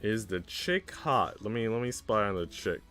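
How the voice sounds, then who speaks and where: A man's voice: fairly low pitch, expressive, normal speed, fairly bright tone. A young man streaming, chatting casually in a slangy, laid-back way. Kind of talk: casual livestream chat. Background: music.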